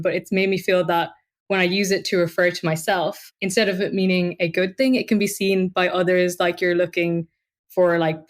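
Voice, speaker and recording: A woman with a slightly low voice answers interview questions. She speaks earnestly and conversationally, thinking through her points as she goes. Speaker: podcast interviewee, tech worker.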